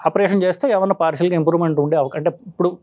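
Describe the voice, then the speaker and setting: A man explains a condition as a doctor would. He goes haltingly, dropping in English terms, and the recording is a bit muffled. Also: sits high, steady tone, warm tone. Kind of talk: explaining a medical condition informally. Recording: slightly dull, lo-fi sound.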